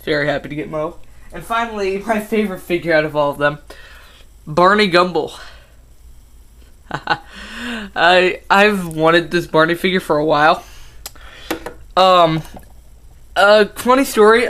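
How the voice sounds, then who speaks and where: A man's voice: high-pitched, measured pace, long pauses, a bit bright. Rambling fifteen-year-old boy vlogger. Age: teens. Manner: rambling, with many ums and self-corrections. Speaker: toy collector vlogger. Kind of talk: casual collection update vlog.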